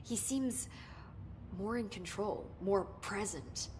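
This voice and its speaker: A woman's voice: medium pitch, slow, darkish tone, slight background noise, natural voice. A woman playing an analytical scientist in a video game, speaking in a clinical, thoughtful way.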